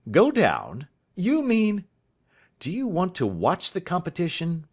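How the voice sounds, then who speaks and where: A man reading aloud, voicing an excitable adult schoolmaster who asks question after question. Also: a little high, unhurried, voice pushed up, full character voice. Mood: eager. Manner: fussy, exclaiming, questioning.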